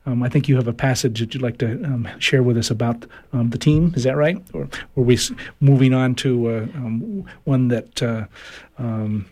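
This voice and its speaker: A man's voice: mid-range voice, normal speed, mellow, slight background noise. A man hosts an interview and introduces his guest. He asks his questions hesitantly and fills the gaps with ums and uhs. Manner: hesitant, many fillers. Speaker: interview host.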